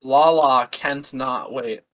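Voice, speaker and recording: A man's voice: mid-range voice, fairly even intonation, slow pace, fairly bright tone. A man co-hosting a casual pop-culture podcast, playful and teasing, riffing on puns. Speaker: podcast co-host.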